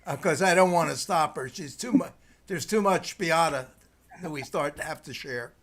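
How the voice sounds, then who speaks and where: A man's voice: fairly high pitch, a bit bright. A man running the technical side of an online event, talking to himself as he shares a clip. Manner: brisk and talking to himself while sharing his screen. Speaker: technical producer. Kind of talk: casual event chat.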